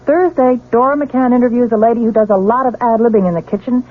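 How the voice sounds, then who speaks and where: A woman's voice: on the high side, fast talker. A woman hosting a radio program, reading a scripted promo. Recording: tinny, band-limited old radio broadcast.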